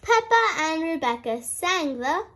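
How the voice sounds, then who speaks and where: A woman narrates a children's picture book aloud. Her voice is high and animated, and she takes her time over each phrase. The style is expressive read-aloud storytelling. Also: measured pace. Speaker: storybook reader.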